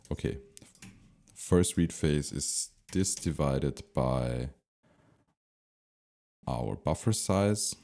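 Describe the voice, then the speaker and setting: A man with a very deep, mellow voice presents a software tutorial at a slow pace. He explains step by step without a script, hesitating now and then as he thinks out loud. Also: creaky.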